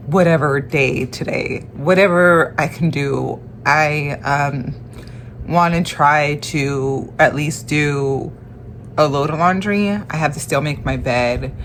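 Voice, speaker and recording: A young woman with a stuffy, congested voice, talking in a casual personal vlog, halting and tired, with many restarts and fillers. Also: sits low, slight background noise. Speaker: vlogger.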